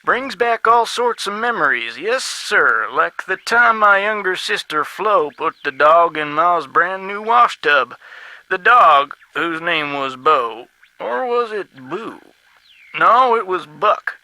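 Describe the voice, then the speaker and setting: Chatty southern radio rambler, kinda high bright voice, super dry sound, video game character. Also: male voice, animated, slow pace, dry recording, full character voice. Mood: folksy reminiscing. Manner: garrulous, drawling folksy storytelling. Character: folksy, rambling, long-winded Southern man on radio. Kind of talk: game voice acting.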